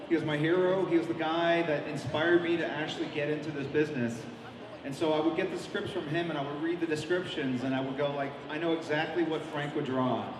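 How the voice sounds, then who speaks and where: Man speaking from far off in an echoey hall. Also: fairly high pitch. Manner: rambling, many ums and restarts. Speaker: comic artist guest. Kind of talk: convention interview answers. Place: large convention hall. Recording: echoey hall, mic at a distance, crowd noise.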